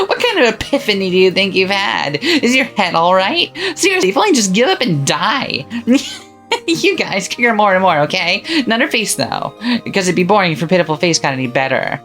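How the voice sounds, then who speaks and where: A woman performs a cruel witch in a story game, taunting her victims with sneering lines and bursts of cackling laughter. Her voice swoops widely in pitch as she goads and mocks, at an everyday middle height. Faint music plays underneath. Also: big pitch swings, normal speed, fairly bright tone. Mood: mocking. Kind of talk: visual novel voice acting.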